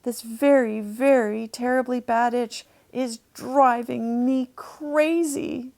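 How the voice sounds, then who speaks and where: A woman voicing a gentle young male manatee in a children's storybook, pleading plaintively about a bad itch. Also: a little high, steady tone, takes it slowly, gravelly. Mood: exasperated.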